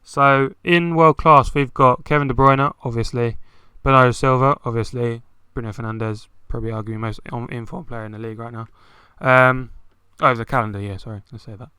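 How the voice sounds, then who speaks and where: A man's voice: medium pitch, fairly even intonation, fairly quick, few pauses, pushed and bright, smooth, dry recording. A young man co-hosting a casual football chat, talking loosely with many fillers. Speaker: podcast co-host.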